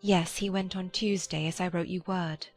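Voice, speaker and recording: A woman playing a gentle, hopeful young Regency sister in a dramatized audiobook, soft-spoken, composed and formal. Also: lowish voice, fairly even intonation, normal speed, faint music behind. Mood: composed, subdued.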